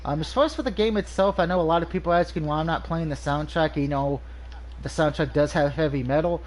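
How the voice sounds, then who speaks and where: A man with a slightly high voice talks through a video game review in a loose, rambling way. He sounds nasal and stuffed up from allergies, pausing often on "um" and restarting his sentences. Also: slight background noise. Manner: rambling, frequent ums and restarts. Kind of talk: casual game review over gameplay.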